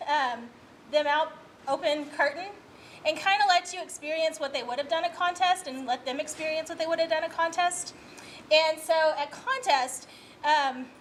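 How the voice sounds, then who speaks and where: A woman's voice: sits high, fairly bright tone, a little hiss or hum. A woman speaking informally and unscripted as a school drama director introducing a play, in a chatty, explanatory way. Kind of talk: informal unscripted speech to an audience.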